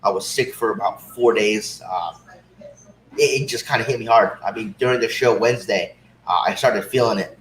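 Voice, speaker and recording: Slightly hoarse man in a roomy shop. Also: on the low side, normal speed, slight background noise. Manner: relaxed, rambling with many "uh" fillers. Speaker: cigar show co-host. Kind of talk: casual livestream chat. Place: cigar shop lounge. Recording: roomy shop with faint ambience.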